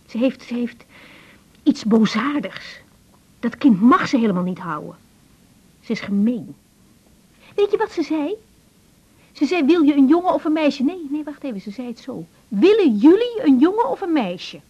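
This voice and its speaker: A woman's voice: high voice, animated, moderate pace, dark tone. An actress playing an earnest, wounded young female doctor in a radio drama, emotionally open and introspective. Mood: troubled, confiding. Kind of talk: naturalistic radio drama acting, diary-like monologues. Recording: dull radio recording with music.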